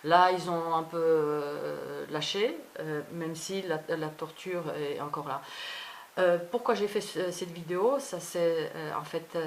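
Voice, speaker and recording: A woman whose native language is not French records an unscripted personal vlog, speaking in halting fragments that often trail off. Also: low voice, a little hiss or hum. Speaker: video blogger.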